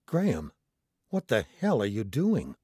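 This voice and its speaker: A man's voice: fairly low pitch, huge pitch swings, unhurried, a little mellow, slightly rough, no echo, studio quality, exaggerated voice. A man voicing a desperate, panic-stricken castaway pilot in an audiobook, crying out urgently and emphatically. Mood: self-reproach.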